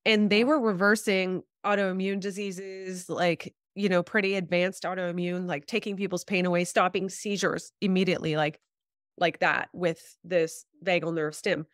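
A woman's voice: on the low side, fairly even intonation, normal speed, no room sound, clean studio sound. A woman in her thirties with a slightly congested voice, explaining health topics informally as an interview guest on a video call. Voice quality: slightly congested, stuffed-up nose. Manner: informal, frequent "like" and "you know". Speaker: guest health practitioner, chiropractor and acupuncturist. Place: small room at home, video call.

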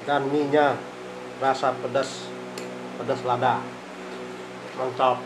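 A man's voice: medium pitch, level tone, music in the background, poor audio. Middle-aged man murmuring while eating. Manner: slow, murmuring, eats between phrases, frequent "eh" fillers. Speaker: food vlogger. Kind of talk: casual eating vlog. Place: small rented room indoors. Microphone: phone camera on the table. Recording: phone recording with room noise and cutlery clatter. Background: clinking cutlery and dishes.

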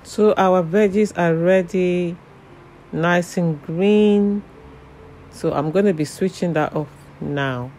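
Cooking host, man with a fairly high voice, relaxed and chatty, talks through each step with filler words. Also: takes it slowly, slight background noise, poor audio. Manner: relaxed, chatty, frequent "you know" and "um" fillers. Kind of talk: casual step-by-step cooking narration.

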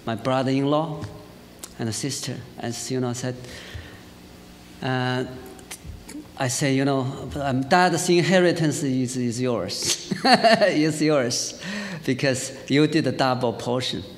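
A middle-aged man from China with a Chinese accent, preaching a sermon and telling a personal story in a lively way, with frequent fillers. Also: mid-range voice, slow. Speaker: pastor. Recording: church hall reverb, faint hiss.

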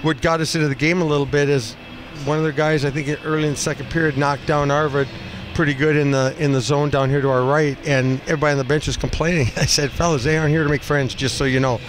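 A man in his fifties, a hockey coach speaking off the cuff in a postgame interview, blunt and halting, often repeating phrases. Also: fairly high pitch. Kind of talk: postgame interview, unscripted talk. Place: small cinder-block room indoors at a rink. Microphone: headset boom mic. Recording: noisy arena room, steady background din.

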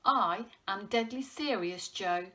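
Woman acting an excitable male newsagent, indignant, friendly, emphatic, high forceful voice, comic storybook. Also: measured pace, full character voice. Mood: grave. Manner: exclaiming, emphatic. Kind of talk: audiobook character voice for a children's comedy.

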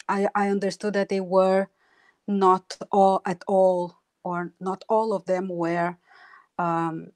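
A woman's voice: medium pitch, no echo. A woman in her forties from Brazil, speaking earnestly as an artist on a video call panel. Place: home room with bookshelves. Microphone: wired earphone mic on video call.

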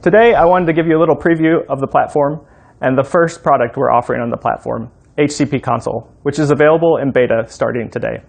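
A man in his thirties walks through a software demo. His voice is mid-pitched with plenty of vocal fry, and he explains things one step at a time. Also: fast talker. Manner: instructional, step by step. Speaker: software engineer, demo presenter. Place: room in a home.

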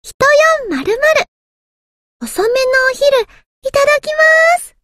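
Little anime game submarine girl, extremely high childlike voice, slow-paced, timid, earnest, polite. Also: animated, fairly bright tone, dry recording, heavily acted. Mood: determined, polite. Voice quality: childlike, thin, girlish. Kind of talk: anime game character voice lines.

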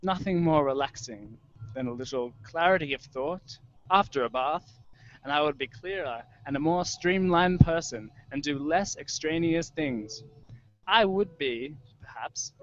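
A man's voice: on the high side, unhurried, fairly bright tone. A young Australian man reading literary personal prose into a handheld microphone. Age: young adult. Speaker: writer or performer reading at a festival. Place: indoor room, live online video stream. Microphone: handheld microphone held close. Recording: dull lo-fi webcast, prominent music behind. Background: music soundscape.

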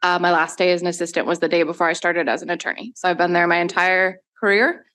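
A woman public defender, steady and matter-of-fact, introducing herself on a panel. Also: on the low side, fast talker. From Colombia, raised in Minnesota. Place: large law school hearing room.

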